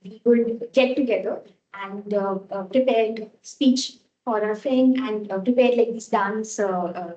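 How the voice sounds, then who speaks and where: A woman with a soft voice giving an impromptu speech, slow and hesitant with many fillers. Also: mid-range voice, echoey room, dull audio. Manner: slow, hesitant, laughs often.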